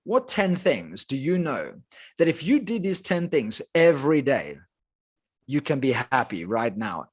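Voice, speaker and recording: A man with a South African accent, his first language Afrikaans, speaking as a life coach guest in a podcast interview with energetic, emphatic delivery. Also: mid-range voice, unhurried, breathy edge. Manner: energetic, emphatic motivational talk.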